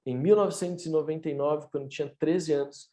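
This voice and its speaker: A man's voice: a little high, fairly even intonation, quick pace, mellow. A man in his thirties, a pastor, sharing his testimony in an earnest, preaching way for an online church service. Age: 30s. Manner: earnest, preacherly, rising emphasis.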